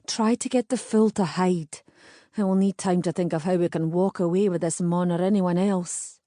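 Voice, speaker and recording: A woman's voice: fairly low pitch, steady tone, moderate pace, warm tone, no echo, studio quality, close to an everyday voice. A woman playing a firm young Scottish heroine. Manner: firm, commanding. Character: bold young Scottish woman, steady, sharp-tongued. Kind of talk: audiobook character voice.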